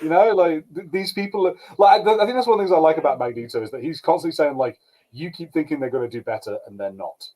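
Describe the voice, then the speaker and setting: A man's voice: a little high, fast talker, warm tone, rough recording. A man co-hosting a podcast in casual chat, restarting his sentences often as he gives opinions. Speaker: podcast co-host.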